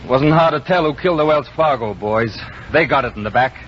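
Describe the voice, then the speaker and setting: A man's voice: mid-range voice, a little fast, fairly bright tone, lightly acted. An American man acting a bold, unflinching Old West lawman in an old-time radio western, firm and taunting. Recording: muffled, hissy vintage radio recording.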